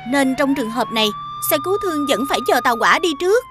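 A woman playing a gentle, patient schoolteacher who explains things in a children's cartoon, with a Southern Vietnamese accent. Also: high voice, animated, fast talker, noisy background. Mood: patient explaining. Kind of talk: animated children's cartoon dubbing. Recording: music behind the voice.

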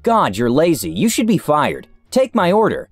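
A man voicing a rude, demanding woman customer, with a raised pitch and accusing lines. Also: huge pitch swings, moderate pace, husky, faint music behind, exaggerated voice.

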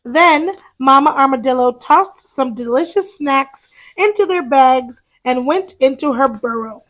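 A woman reading a children's picture book aloud as storyteller, expressive and deliberate. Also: high voice.

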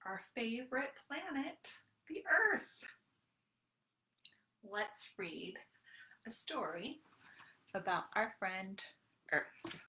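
A woman's voice: mid-range voice, sing-song, very slow, long pauses, pushed and bright. A woman in her thirties leading a children's storytime in a sing-song, encouraging way, as a librarian talking to small children. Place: small room at home, indoors. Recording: roomy echo on home webcam recording.

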